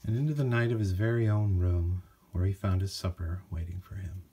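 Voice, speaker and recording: A man with a husky voice narrating a children's storybook from a script. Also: deep voice, fairly even intonation, brisk, dark tone, a little hiss or hum. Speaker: storybook reader.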